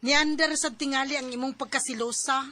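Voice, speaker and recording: A woman's voice: high-pitched, fairly even intonation, brisk, over music, lightly acted. An actress playing a frank, gossipy young woman confiding as a friend in a radio drama. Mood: advising.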